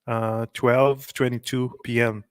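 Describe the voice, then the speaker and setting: A Brazilian man with a Brazilian Portuguese accent hosting a casual live stream, chatty and laughing often, a little halting when he speaks English. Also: mid-range voice, level tone, clean tone, no echo, studio quality. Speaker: host practicing English with strangers.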